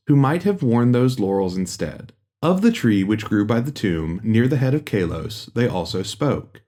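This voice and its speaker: A man narrating a gothic horror audiobook in a measured, solemn and formal storytelling manner. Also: mid-range voice, warm tone. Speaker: audiobook reader. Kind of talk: scripted literary narration of a gothic horror tale.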